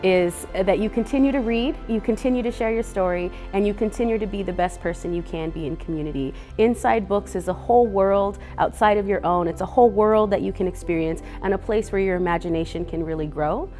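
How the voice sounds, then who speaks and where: A woman's voice: medium pitch, a little fast, hardly any pauses, dark tone, soft background music. A woman speaking earnestly and unhurriedly to children as a guest reader, with a few fillers. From Boise, Idaho. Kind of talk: gentle talk to kids.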